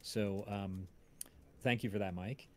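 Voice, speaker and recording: A man hosting a casual livestream chat, talking in a halting way as he searches for words. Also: on the low side, fairly even intonation. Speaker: host of a cast and crew livestream, audio drama writer.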